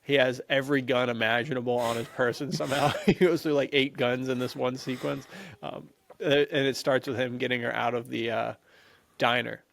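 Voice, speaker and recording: A man's voice: mid-range voice, level tone, moderate pace, gravelly, a bit bright. An American man chatting casually and enthusiastically as a podcast co-host about action films, in slangy, easygoing speech. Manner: enthusiastic, slangy, laughs easily.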